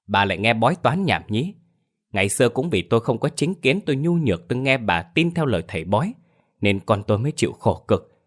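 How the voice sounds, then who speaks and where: A man's voice: deep voice, lightly acted. A man voicing an elderly father who is stern and reproachful yet tender toward his widowed daughter-in-law.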